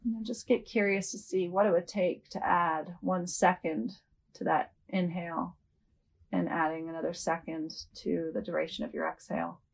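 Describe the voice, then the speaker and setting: A woman leading a guided yoga nidra meditation as a host, speaking gently and without hurry, with long pauses. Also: lowish voice, fairly even intonation, gravelly, husky, low-quality recording.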